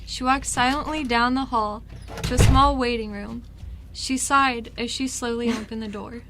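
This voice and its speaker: A young woman with a fairly high voice narrates a story in an amateur scripted style. Faint noise sits behind her reading. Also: steady tone, normal speed.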